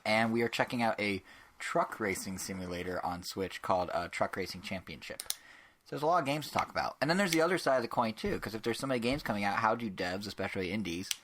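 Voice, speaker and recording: A young man with a nasal voice hosts a gaming podcast in a fast, rambling patter. His voice sits fairly low, and he jokes his way from one topic to the next. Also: animated, fairly bright tone, slight background noise. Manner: quick, rambling, joking. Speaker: podcast host. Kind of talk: casual podcast chat.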